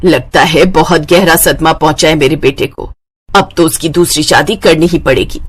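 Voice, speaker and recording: A woman's voice: low-pitched, moderate pace, slightly rough, pitched below the performer's own voice. A woman voicing a bossy, suspicious older mother-in-law who scolds, in a cartoon moral story. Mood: disapproving.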